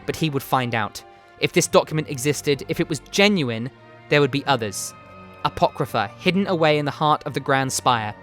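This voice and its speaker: A man reading a fantasy story aloud as narrator, with dramatic, deliberate phrasing. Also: on the high side, lively intonation, a bit bright. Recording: music behind the voice. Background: background music.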